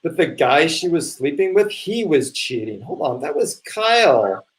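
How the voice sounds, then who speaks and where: Man acting earnest waiter with lively, highish voice. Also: measured pace. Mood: explaining. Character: earnest, impatient waiter seeking forgiveness. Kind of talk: live play reading.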